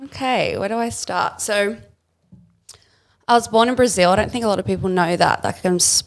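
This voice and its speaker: A young Australian woman, a guest on a casual podcast, chatting in a relaxed way with lots of "like" fillers. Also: medium pitch, normal speed, vocal fry.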